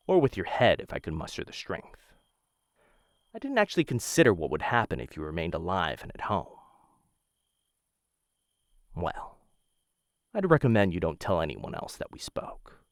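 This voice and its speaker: A man acting a dangerous, possessive noble assassin in an intimate roleplay, with courtly, measured and softly menacing delivery. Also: sits low, no room sound, studio quality. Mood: quietly threatening. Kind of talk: boyfriend ASMR roleplay, intimate scripted acting.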